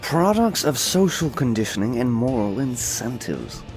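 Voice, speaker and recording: A man's voice: mid-range voice, very animated, normal speed, soft background music, natural voice, poor audio. A man playing a cynical, aloof fantasy lord and mage, speaking with dry, unruffled condescension in an audiobook. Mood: dry, dismissive. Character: cynical, aloof male demon-keeping lord and mind mage. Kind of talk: dramatized fantasy audiobook acting.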